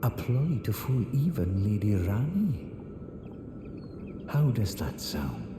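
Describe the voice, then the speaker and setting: Snooty scheming wizard for a fantasy game, lowish voice that swoops around, pompous and sneering. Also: male voice, very animated, background noise, heavily acted. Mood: scheming, sly. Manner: pompous, sneering, drawn-out theatrical phrasing. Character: haughty, condescending, scheming male sorcerer and puppeteer. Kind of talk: fantasy video game character acting. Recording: echoey hall reverb under loud music.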